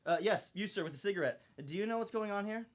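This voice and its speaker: A man's voice: high voice, lively intonation, fast. A young man playing a fussy, wry slacker in a radio comedy, wordy and mock-polite. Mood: incredulous, upbeat. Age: young adult. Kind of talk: student radio comedy acting.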